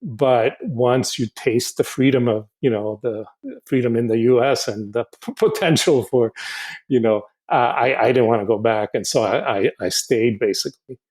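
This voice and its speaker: A man in his sixties from Honduras, a podcast guest who speaks in a halting, reflective way, often restarting and repeating words. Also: medium pitch, darkish tone. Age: 60s. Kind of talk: casual podcast interview.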